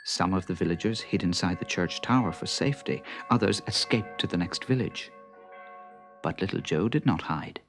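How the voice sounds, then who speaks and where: A man's voice: low voice, normal speed, fairly bright tone, soft background music. A man narrating a children's story in a dramatic, suspenseful way.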